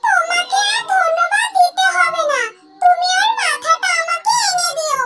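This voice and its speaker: A performer voicing a scheming, bloodthirsty female witch with a shrill, conniving delivery in a cartoon horror tale. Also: a little slow. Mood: sly demanding. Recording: loud music behind.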